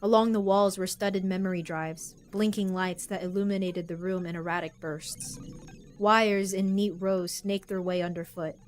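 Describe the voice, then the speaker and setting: A woman's voice: medium pitch, fairly even intonation, faint music behind, lightly acted. A woman playing a brash, sardonic getaway driver who also narrates, in a wry manner, in a sci-fi audio drama. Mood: narrating, wry. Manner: wry, quick retorts, exasperated. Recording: clear background noise with sirens and vehicles.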